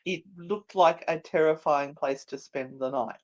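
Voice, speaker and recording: A woman's voice: sits low, unhurried, highs cut off. A woman co-hosting a live show in casual, informal chat.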